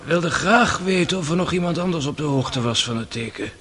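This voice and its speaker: A polite, hesitant jury foreman in a radio courtroom play. His voice is on the high side, and he speaks haltingly and apologetically. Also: lively intonation, a bit bright.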